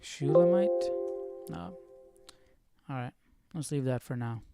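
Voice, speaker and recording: A man hosting a casual Bible study livestream, thinking aloud in an informal, halting way. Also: medium pitch, over loud music. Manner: informal, halting, muses to himself.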